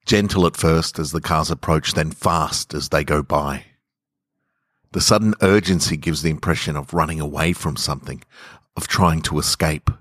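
A man voicing a lonely, sleepless lover in a brooding, measured first-person story reading. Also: extremely low pitch, fairly bright tone, no room sound, clean studio sound. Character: lonely, sleepless lover lying in a hotel bed.

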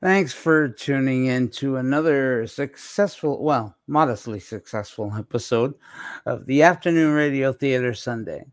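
A man's voice: mid-range voice, a little slow, no echo, clean studio sound. A man hosting a radio programme, talking in a casual, wry and self-deprecating way. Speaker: radio show host. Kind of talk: casual scripted sign-off.

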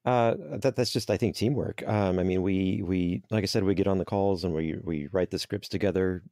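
A man guest describing how his team makes audio dramas, relaxed and self-deprecating as he thinks aloud. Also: deep voice, moderate pace, dry recording, studio quality. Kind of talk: conversational interview answers.

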